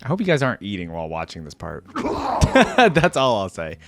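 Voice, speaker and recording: A man hosting a reaction video, chatting casually to his viewers in an animated way and laughing often. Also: on the low side, normal speed, heavy background noise. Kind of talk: casual chat reacting to game audio.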